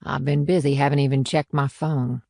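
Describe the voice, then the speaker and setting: Gruff small-town policewoman, female actor, low husky voice, blunt and salty, audiobook drama, very dry sound. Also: steady tone, normal speed, warm tone, no room sound, heavily acted. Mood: irritated. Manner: blunt, irritable, salty-tongued. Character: gruff, groggy, sharp-tongued small-town policewoman who smokes. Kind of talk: audiobook character acting.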